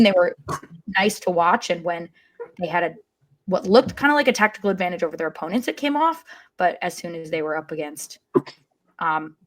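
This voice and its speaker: Low-pitched woman talking sports analysis. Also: low-quality recording. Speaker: soccer podcast panelist.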